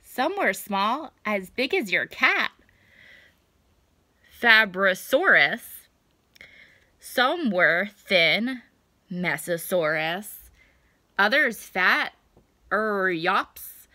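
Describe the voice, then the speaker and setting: A young adult woman reading a picture book aloud to children, expressive and deliberate, stretching words for emphasis. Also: medium pitch, no room sound, clean studio sound. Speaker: children's story time reader. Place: small indoor room at home.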